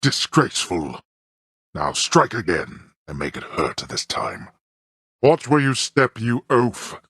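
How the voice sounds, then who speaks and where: A man playing a stern, scornful old god of the underworld in a video game, speaking with pompous, archaic, disdainful diction and a very deep, gravelly voice. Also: wildly swooping pitch, slow, a bit bright, no room sound, studio quality, heavily acted. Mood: scornful, menacing. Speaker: voice actor. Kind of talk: theatrical scripted video game character lines.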